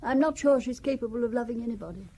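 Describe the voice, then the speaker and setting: A woman's voice: a little high, fast, warm tone, slightly rough. An actress playing a wealthy older widow who talks about her family in a wry, confiding, gossipy way, in a radio drama. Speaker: Valentine Besson.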